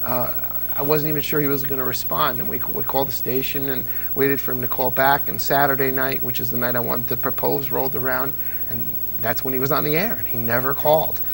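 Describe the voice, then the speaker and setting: A man's voice: medium pitch, flat delivery, fairly quick, gravelly, a bit bright, slight background noise, rough recording. A man hosting a casual interview, telling stories with enthusiasm and frequent restarts. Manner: enthusiastic storyteller, restarts often. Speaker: interview host. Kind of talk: casual nostalgic interview chat.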